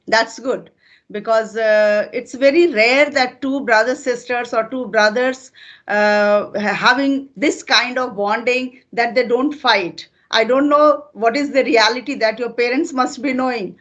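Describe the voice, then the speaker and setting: A woman's voice: on the high side, unhurried, fairly bright tone, highs cut off. An older woman with an Indian accent whose first language is Hindi, a retired teacher chatting in a patient, teacherly way with fillers. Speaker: retired teacher and channel host. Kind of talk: casual interview conversation.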